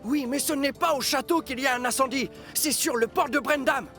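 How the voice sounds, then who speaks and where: Excitable cartoon alarm-raiser, extremely high voice, insistent, emphatic, music behind. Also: male voice, brisk. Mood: urgent. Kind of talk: children's cartoon dubbing.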